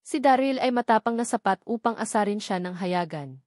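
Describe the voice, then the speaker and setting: A woman's voice: mid-range voice, expressive, fairly quick, darkish tone, no room sound, clean studio sound. A woman reading scripted fantasy novel narration as an audiobook narrator.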